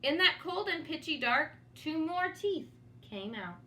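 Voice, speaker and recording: A woman reads a children's storybook aloud in a highish, very animated voice. Her delivery is dramatic and teacherly, taking its time. There is faint noise behind her. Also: unhurried. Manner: dramatic, teacherly, with asides to young listeners. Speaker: storybook reader. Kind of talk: expressive read-aloud for children.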